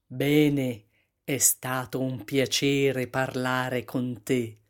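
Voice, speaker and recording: Man playing a very slow, sly big bad wolf. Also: mid-range voice, level tone. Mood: sly, polite. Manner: slow, insinuating, falsely polite.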